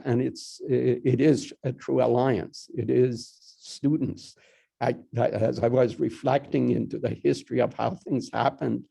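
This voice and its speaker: Older dean with a gravelly voice. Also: male voice, medium pitch, dark tone. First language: Persian. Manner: halting, many restarts and fillers. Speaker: college dean. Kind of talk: formal address to a meeting.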